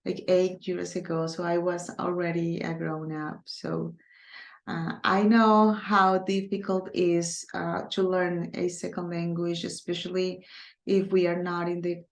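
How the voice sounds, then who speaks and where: Slow Colombian-accented woman teacher, gravelly. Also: lowish voice, flat delivery, echoey room. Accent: Colombian Spanish. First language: Spanish. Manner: hesitant, many fillers, speaks slowly on purpose. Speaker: Spanish teacher. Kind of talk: recorded online class, casual.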